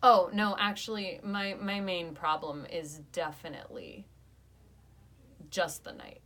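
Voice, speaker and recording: Young female podcast co-host, lowish voice, casual and rambly. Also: a little slow. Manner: chatty, lots of "like" fillers, animated when ranting. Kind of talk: casual podcast review chat.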